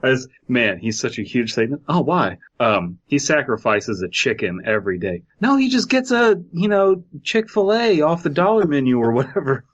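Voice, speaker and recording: A man's voice: mid-range voice, big pitch swings, normal speed, few pauses. Dull-sounding man riffing on a comedy podcast. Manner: profane, riffing. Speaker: podcast host. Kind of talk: casual comedic banter.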